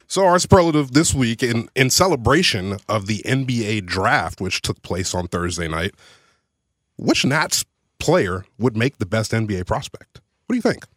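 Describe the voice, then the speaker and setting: A man's voice: fairly low pitch, lively intonation, normal speed, a bit bright, dry recording. A man speaking as a sports podcast producer, casual and conversational, sometimes reading aloud.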